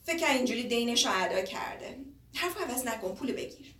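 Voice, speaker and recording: A woman's voice: a little high, lively intonation, bright, forceful tone. An actress playing a caring, worn-out young woman looking after her father, soothing and pleading, in a naturalistic drama. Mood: tired, earnest. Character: caring, worn-out grown daughter of an old man. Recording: echoey, noisy room, distant mic.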